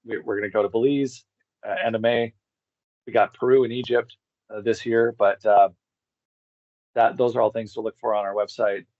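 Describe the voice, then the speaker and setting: A man chatting casually as an interview guest, halting and informal, often repeating words for emphasis. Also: fairly low pitch, flat delivery, dry recording, dull audio. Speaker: interview guest, tour organizer. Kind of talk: casual rambling conversation.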